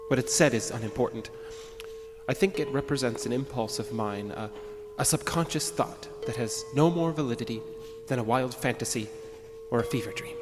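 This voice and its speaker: An actor playing an agitated, arrogant man with violent urges, narrating his journal in a deliberate, formal way for an audio drama. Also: mid-range voice, close to an everyday voice. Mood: brooding, tempted. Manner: pompous, deliberate, brooding, formal phrasing. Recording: long reverb, music underneath.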